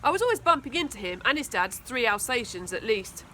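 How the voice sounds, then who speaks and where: A woman narrates a children's story expressively. The voice is fairly high and very bright, with a little background noise. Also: big pitch swings, a little fast. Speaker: school teaching assistant reading aloud.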